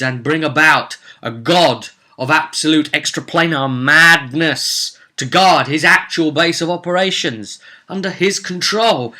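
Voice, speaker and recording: A man's voice: medium pitch, bright, forceful tone. A man recapping a tabletop roleplay session as game master, telling it theatrically with casual asides.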